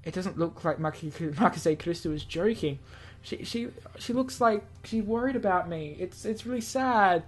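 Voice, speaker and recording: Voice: mid-range voice, expressive, normal speed. A gaming video host reading a visual novel aloud with playful asides, often stumbling over words and self-correcting.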